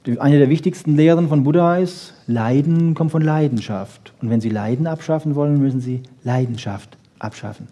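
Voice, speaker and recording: A man giving a popular science lecture with wry humour, rhetorical questions and short checking tags, in a husky voice. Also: a little high, normal speed, hardly any pauses, dark tone. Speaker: lecturer on brain research.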